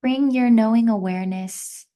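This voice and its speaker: A woman leading a guided mindfulness meditation as an instructor, speaking gently in short, measured phrases with long pauses between them. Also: a little high.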